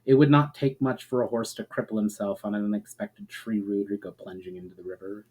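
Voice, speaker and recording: A man reading aloud as an author and host, with expressive, measured phrasing that falls away softly at the ends of sentences. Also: fairly low pitch, breathy edge, poor audio. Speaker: author and channel host reading his own book. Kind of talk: dramatic storybook reading of fantasy prose.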